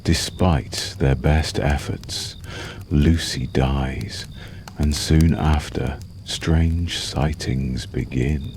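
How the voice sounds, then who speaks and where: Very deep, slow vampire lover acted by a man. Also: fairly even intonation, darkish tone, a little hiss or hum, full character voice. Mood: calm storytelling. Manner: slow, soothing, menacingly tender, lingering pauses. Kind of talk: ASMR roleplay, intimate storytelling.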